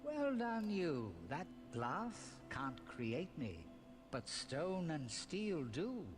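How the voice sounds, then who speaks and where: An actor playing a sly, smugly courteous riddle-setting villain in a fantasy video game, with a theatrical, teasing delivery. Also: male voice, on the high side, sing-song, very slow, music in the background, full character voice. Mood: mysterious, savoring.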